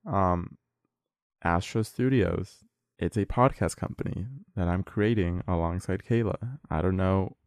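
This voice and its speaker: A man's voice: sits low, measured pace, a little mellow, no echo. A man of nineteen, co-hosting a podcast, talking in a casual, rambling and earnest way with many fillers. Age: late teens. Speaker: podcast co-host.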